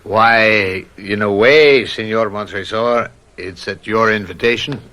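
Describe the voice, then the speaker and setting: A lowish-voiced, insistent police inspector. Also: male voice, a little slow, pushed and bright. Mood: dryly insistent. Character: polite, insistent male police inspector, quietly sure of himself. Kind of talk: old-time radio drama acting.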